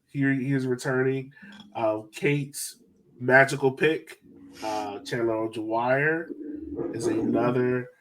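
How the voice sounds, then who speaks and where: A man's voice: mid-range voice, fairly even intonation, takes it slowly, background noise. A man hosting a sports fan podcast, chatting informally and enthusiastically. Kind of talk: casual panel chat.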